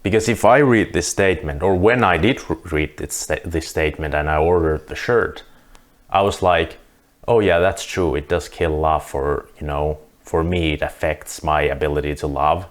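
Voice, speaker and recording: A man's voice: extremely low pitch, normal speed. A Finnish man speaking English as a second language, earnestly talking through his views to camera with frequent restarts. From Finland. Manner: earnest, thinking aloud with restarts. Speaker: vlogger. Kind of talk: casual monologue to camera.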